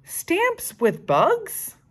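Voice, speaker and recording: Storybook schoolgirl played by a woman: excitable, bossy, emphatic, raised pitch, very animated. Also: drawn-out words, exaggerated voice. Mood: demanding. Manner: emphatic, exclaiming.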